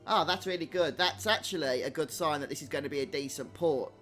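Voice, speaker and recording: A man with a slightly higher-pitched voice chats casually through a live game stream, musing aloud as he plays. Music plays behind him. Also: a little fast, a bit bright. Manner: chatty, thinking aloud. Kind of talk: casual live gameplay commentary.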